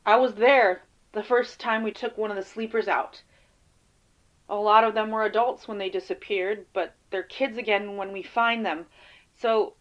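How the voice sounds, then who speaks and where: A woman playing a weary, defensive field agent in an audio drama interview, speaking haltingly and casually. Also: on the high side, lo-fi sound, close to an everyday voice. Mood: guarded, weary.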